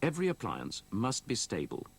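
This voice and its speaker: A man narrates an instructional film from a script. His voice sits fairly low and is husky, with intonation that moves up and down in a lively way. He explains things methodically and in measured phrases, on audio copied from an old VHS tape.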